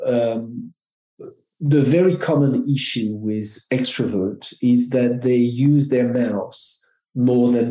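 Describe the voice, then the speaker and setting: A middle-aged man from France with a French accent, a marketing guest answering interview questions in a measured, halting way with frequent restarts. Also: medium pitch, warm tone. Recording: roomy echo.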